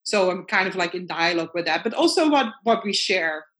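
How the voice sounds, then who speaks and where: A woman's voice: lowish voice, lively intonation, brisk, a bit bright. A woman with a Dutch accent, whose first language is Dutch, speaks as a guest artist in a casual interview over a video call. She thinks aloud in a reflective way and circles back to her points.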